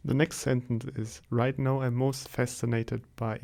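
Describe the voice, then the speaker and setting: A man's voice: mid-range voice, little pitch movement, measured pace, gravelly, darkish tone. A man hosting an interview podcast, asking short questions. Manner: brief prompts and questions.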